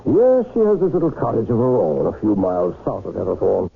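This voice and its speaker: An actor playing a secretive, commanding English gentleman in a radio drama, with a clipped, probing manner. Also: fairly low pitch, wildly swooping pitch, a little fast, vocal fry, exaggerated voice. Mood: insistent, secretive. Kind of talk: old-time radio drama acting. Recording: tinny, noisy old radio broadcast.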